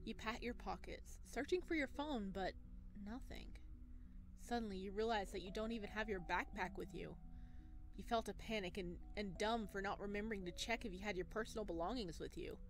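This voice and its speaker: A woman reading a visual novel aloud as a let's player, expressive, with casual chatty reactions. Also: medium pitch, brisk, a little hiss or hum. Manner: expressive, exclaims and chats between read passages. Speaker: video game let's player.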